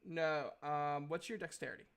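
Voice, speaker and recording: A man running a tabletop game as game master, explaining rules in an informal, halting way. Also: on the high side, fairly even intonation, fairly bright tone, clear, resonant tone.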